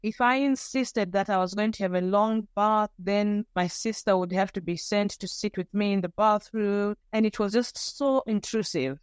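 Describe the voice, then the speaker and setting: Smooth-voiced woman from Zimbabwe. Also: mid-range voice, dry recording. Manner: candid, animated storytelling with pauses and restarts. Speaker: podcast guest. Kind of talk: personal storytelling interview.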